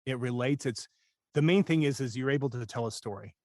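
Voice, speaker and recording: A man's voice: medium pitch, brisk, a little mellow, no room sound, studio quality. A man talking casually as a guest voice-over coach, candid and self-deprecating as he shares anecdotes. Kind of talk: casual conversational interview talk.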